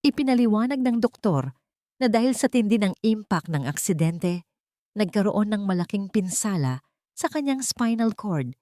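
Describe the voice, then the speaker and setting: A woman's voice: medium pitch, very animated, normal speed, warm tone, no echo, studio quality. A woman with a Filipino accent narrating a scripted love story in a dramatic storytelling style.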